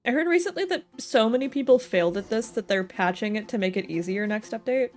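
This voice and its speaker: A woman's voice: on the high side, animated, fast. A woman is livestreaming a game and talking off the cuff to her viewers. She is playful and full of joking asides, with faint game music playing under her voice. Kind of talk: casual chat and game commentary to viewers. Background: video game music.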